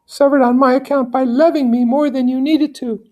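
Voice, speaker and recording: Storybook noblewoman, gracious and loving mother, very high pitched, formal delivery, played by a man. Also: steady tone, moderate pace, warm tone. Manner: formal, courteous. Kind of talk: storybook character reading.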